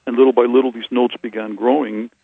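A man in his sixties from Cuba, a Trappist monk answering interview questions in a soft, reflective way with many hesitations and restarts. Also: mid-range voice, level tone, fast, gravelly, breathy edge, no room sound. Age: 60s. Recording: tinny phone line.

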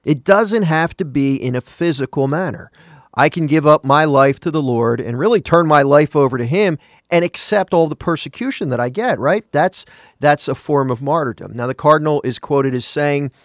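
A man's voice: a little high, moderate pace, no room sound, clean studio sound. Earnest man talking on morning radio. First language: not Spanish. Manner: earnest, conversational, self-correcting, asks listeners questions.

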